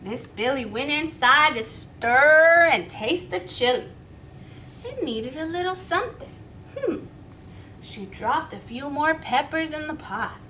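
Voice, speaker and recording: A woman's voice: sits high, animated, takes it slowly, pushed and bright, a little hiss or hum, poor audio. A woman reading a children's story aloud expressively, inviting young listeners to join in. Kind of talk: animated children's read-aloud.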